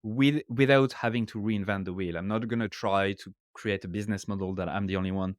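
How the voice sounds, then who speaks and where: A man's voice: lowish voice, fairly even intonation, a little fast, clean tone, dry recording, clean studio sound. A man from France with a French accent, English not his first language, explaining ideas casually as a podcast guest and often checking in with "right?". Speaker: author and guest. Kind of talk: casual interview talk.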